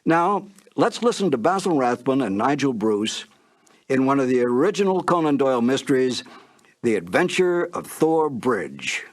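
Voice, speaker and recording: A man's voice: medium pitch, big pitch swings, normal speed. A man reading a scripted introduction as the narrator of a classic radio series.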